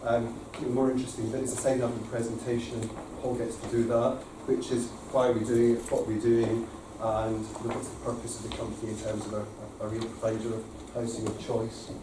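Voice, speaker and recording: A man's voice: mid-range voice, fairly quick, hardly any pauses, background noise. Scottish man presenting in echoey room. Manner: relaxed, self-deprecating, many fillers. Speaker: company managing director presenting. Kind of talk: formal presentation to a council panel. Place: large council committee room. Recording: echoey committee room from far off.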